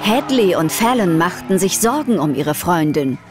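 A woman's voice: lowish voice, lively intonation, moderate pace, over music. A woman narrator telling a scripted story in an audio drama.

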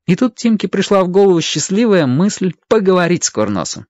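Narrator for an audio drama: expressive scripted storytelling, very varied melody, close dry sound. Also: medium pitch, a little fast, no echo, muffled recording.

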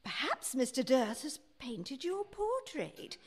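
Lady playing teasing arch society woman in radio play, highish, slow. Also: big pitch swings, slightly rough, full character voice.

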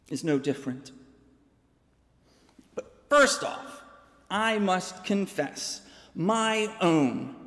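Slow, deliberate man preaching in a church. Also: high-pitched. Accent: American. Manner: measured, deliberate delivery with dramatic pauses. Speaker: pastor. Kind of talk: sermon. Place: large church sanctuary, preaching from a pulpit. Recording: long church reverb.

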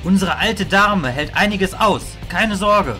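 A soothing wizard teacher from a fantasy radio play acted by a man. His male voice is high and encouraging. Guitar music is clearly heard behind him. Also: slow, bright, forceful tone, heavily acted. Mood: reassuring.

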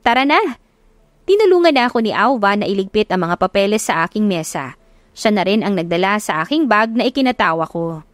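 A woman's voice: medium pitch, dry recording. A woman with a Filipino accent reading a scripted first-person story as an audiobook narrator.

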